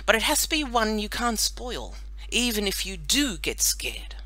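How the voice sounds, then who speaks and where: Woman playing a bright, bossy little farm boy. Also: mid-range voice, very animated, measured pace, voice pushed up. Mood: eager, scheming. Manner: assertive, plain-spoken bossiness. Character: confident, scheming young farm boy, cheeky and bossy. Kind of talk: children's audiobook character voice.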